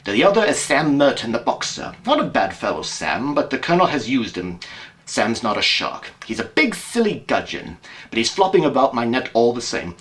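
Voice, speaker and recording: Man playing a brisk, wry, animated detective. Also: medium pitch. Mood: animated, confiding. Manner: emphatic, wry, theatrical. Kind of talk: amateur audio drama acting.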